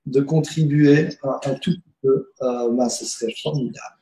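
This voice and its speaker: A man's voice: medium pitch, steady tone, moderate pace, mellow, roomy sound, low-quality recording. A man who is not a native speaker, a guest therapist talking in a casual interview, hesitant, with many fillers and restarts. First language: not French.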